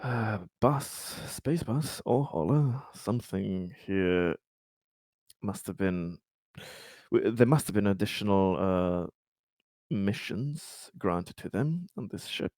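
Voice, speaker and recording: A man's voice: fairly low pitch, animated, takes it slowly, darkish tone, dry recording, clean studio sound. A man playing a tabletop roleplaying game, chatting partly in character with slightly formal phrasing. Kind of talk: casual in-character game chat.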